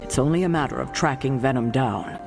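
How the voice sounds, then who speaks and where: An actor playing an earnest superhero teammate in a video game, conciliatory with a dry humour. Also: male voice, mid-range voice, fairly quick, creaky, over music, lightly acted. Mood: earnest, wry. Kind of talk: video game dialogue acting.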